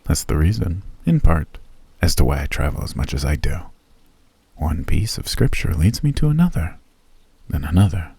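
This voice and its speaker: Brief: male VA as a mysterious elf recluse, very deep husky voice, genteel and teasing. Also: dry recording, full character voice. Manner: genteel, teasing, softly menacing. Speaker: voice actor. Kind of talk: ASMR roleplay.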